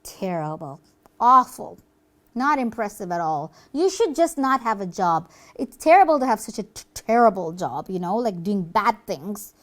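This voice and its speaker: A woman's voice: mid-range voice, sing-song, normal speed, voice pushed down, close to an everyday voice. A woman playing a pious believer in a puppet show, speaking earnestly and reproachfully to a demon. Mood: disgusted, scolding.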